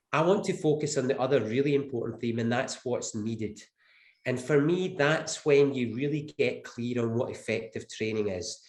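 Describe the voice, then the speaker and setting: A Scottish man presenting on a webinar while he has the flu, speaking in a mid-pitched voice. He talks earnestly and leans on his main points, repeating them for emphasis. His voice carries a roomy echo. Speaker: webinar panelist.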